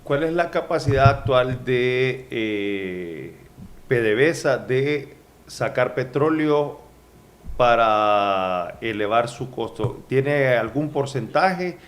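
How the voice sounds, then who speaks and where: A man's voice: medium pitch, expressive, takes it slowly. Man interviewer asking long questions. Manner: asks long reasoned questions, frequent short affirmations.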